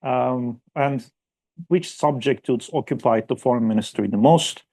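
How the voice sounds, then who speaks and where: Man, historian, mellow dark tone, hesitant, lots of fillers, conference talk. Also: medium pitch, a little slow. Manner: hesitant, many fillers and restarts. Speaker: historian presenting a paper. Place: conference room.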